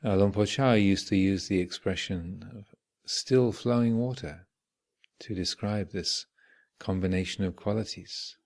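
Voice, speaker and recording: A man with a low, gravelly voice guides a meditation at a very slow pace. He speaks in short, measured phrases and leaves long pauses between them. The delivery is contemplative and reflective throughout. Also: a little mellow. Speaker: meditation teacher leading a guided session. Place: meditation retreat hall.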